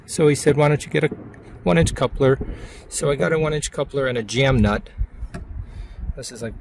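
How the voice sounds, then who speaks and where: A man with a gravelly, fry-edged voice at an average pitch walks through a hands-on job. His delivery is casual and plainspoken, like a home DIY video. Clear background noise from around a vehicle sits behind his voice. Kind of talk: casual hands-on how-to narration.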